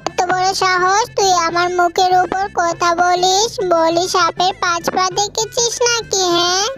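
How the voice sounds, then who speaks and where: Woman acting a high-pitched, scolding fox stepmother. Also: steady tone, measured pace, fairly bright tone. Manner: shrill nagging, taunting rhetorical questions. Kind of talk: cartoon story voice acting.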